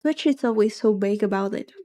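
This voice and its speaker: Soft, mellow-voiced woman streamer; relaxed, rambling gameplay chat. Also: medium pitch, quick pace. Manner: soft-spoken, rambling and chatty. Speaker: VTuber streamer. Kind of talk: casual chat while gaming.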